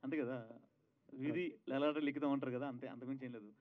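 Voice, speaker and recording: Fast casual man, slightly dull sound. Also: medium pitch, steady tone. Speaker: film director at a press event. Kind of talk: casual answers to media.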